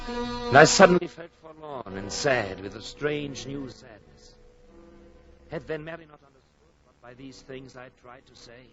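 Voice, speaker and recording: An actor playing an earnest, brooding European husband and expectant father who narrates his journal in solemn, literary, measured 1940s radio drama style. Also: mid-range voice, muffled recording, natural voice. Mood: bitter, forlorn. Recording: old 1940s radio, music behind.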